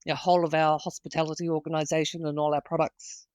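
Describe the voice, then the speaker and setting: A woman's voice: low-pitched, flat delivery, fast, darkish tone, no echo, muffled recording. Confident Australian businesswoman, dry sound. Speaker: guest, hospitality executive. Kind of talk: interview answers.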